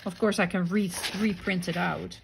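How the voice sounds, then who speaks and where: A woman's voice: lowish voice, fairly even intonation, normal speed, creaky, background noise. A woman hosting a casual craft-along, unhurriedly thinking aloud and murmuring to herself as she works. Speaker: craft tutorial host.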